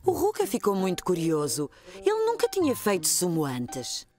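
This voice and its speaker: Lively European Portuguese woman narrator. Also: on the low side, moderate pace, soft background music. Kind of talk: scripted storybook narration.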